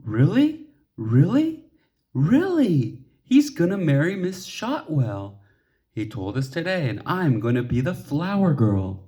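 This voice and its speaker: A man's voice: on the high side, very animated, slow pace, dark tone, voice pushed up. A man voicing an eager, determined little schoolgirl in a storybook, earnest and insistent. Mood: excited. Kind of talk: storybook character dialogue.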